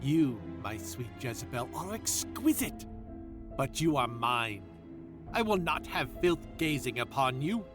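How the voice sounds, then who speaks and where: A man's voice: medium pitch, very animated, a little slow. An actor playing a domineering, possessive older man who has just bought a prized captive. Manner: commanding, possessive. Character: possessive older male collector, domineering and cold. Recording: music bed behind.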